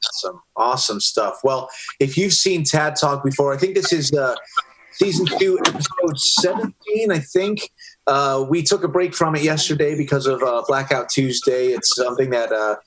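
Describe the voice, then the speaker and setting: A man's voice: medium pitch, normal speed, fairly bright tone, slight background noise, low-quality recording. Enthusiastic male podcast host. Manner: enthusiastic, hyped-up. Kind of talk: upbeat interview hosting. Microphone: video call.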